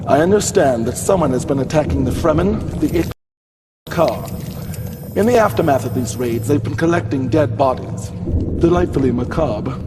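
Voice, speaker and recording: An actor playing a scheming, smug aristocratic villain with macabre tastes in a game cutscene. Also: male voice, on the high side, noisy background, close to an everyday voice. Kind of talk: video game cutscene acting.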